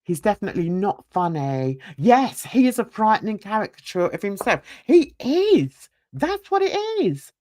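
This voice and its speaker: A woman's voice: mid-range voice, big pitch swings, moderate pace, hardly any pauses, darkish tone, no echo, clean studio sound. An older woman, ranting bluntly and indignantly while reading a livestream chat and talking to viewers. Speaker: YouTube commentator.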